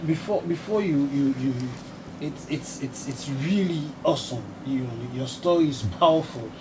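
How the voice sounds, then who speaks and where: A male interviewer with a Nigerian accent asks questions in a halting way, repeating words before he gets going. His voice sits fairly high, with faint hiss in the background. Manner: halting, repeats words when starting sentences. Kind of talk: interview questions mixing English and Pidgin.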